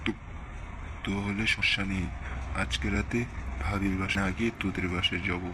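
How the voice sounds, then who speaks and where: A man's voice: sits low, flat delivery, fairly quick, lightly acted. A man voicing a sly, grumbling old rascal uncle who wheedles and justifies himself in a comedy cartoon. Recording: clearly noisy background.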